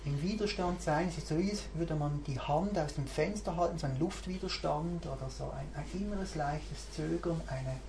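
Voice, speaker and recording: A woman's voice: sits low, normal speed, few pauses. A woman, a mindfulness teacher giving a reflective, searching talk to a group and thinking aloud as she goes. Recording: roomy recording, faint background hiss.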